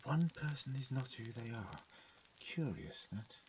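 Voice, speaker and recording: A man acting a calm, caring Time Lord doctor in an audio drama. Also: mid-range voice, measured pace. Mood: defensive, thoughtful. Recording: noisy fan audio drama recording.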